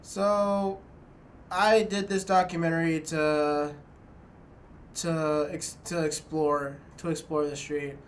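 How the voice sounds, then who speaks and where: A young man, a student, talking to the camera in a small room about his documentary, halting and restarting often. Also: fairly high pitch, fairly even intonation. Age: young adult. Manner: halting, many restarts and fillers. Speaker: student filmmaker. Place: small dorm room indoors.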